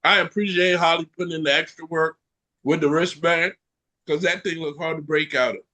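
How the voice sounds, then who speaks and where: A man's voice: fairly high pitch, a little fast, poor audio. A man co-hosting a casual podcast, telling a funny story animatedly and acting out other people's lines. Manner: animated storyteller who acts out other people's lines and laughs mid-sentence. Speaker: podcast co-host.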